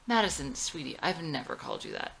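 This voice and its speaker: Woman reading as placating, defensive husband, animated. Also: deep voice, quick pace, creaky, a little hiss or hum, full character voice, poor audio. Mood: dismissive, placating. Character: dismissive, placating husband who plays guitar.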